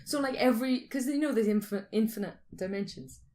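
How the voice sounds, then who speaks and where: A woman's voice: on the high side, fairly quick, a little mellow. A woman co-hosting a podcast in casual, teasing, informal conversation. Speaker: podcast co-host.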